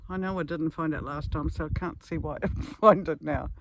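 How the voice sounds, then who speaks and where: A man's voice: on the high side, fairly even intonation, moderate pace, a bit bright. A man from New Zealand chatting casually to camera as a travel vlogger on a walk, musing aloud in short phrases. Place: outdoors at a beach. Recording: outdoor noise, faint clicks.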